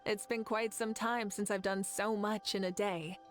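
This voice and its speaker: A woman voicing a confident, gracious goddess leader who speaks calmly and laughs often, in video game dialogue. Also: mid-range voice, lightly acted. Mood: assured. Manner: calm, measured, laughs often. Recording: game audio with soft music underneath.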